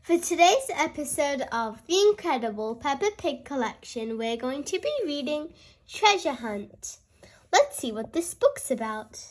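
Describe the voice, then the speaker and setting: A very high-pitched, young-sounding storyteller reads a picture book for small children. The delivery is slow and highly animated, with cheerful expression aimed straight at the listener. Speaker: host and reader of a children's read-aloud show.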